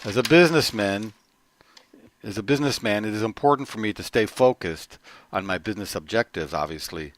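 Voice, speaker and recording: A middle-aged man giving an earnest personal testimony, often halting and restarting his phrases. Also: lowish voice, expressive, dry recording. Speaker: corporate trainer.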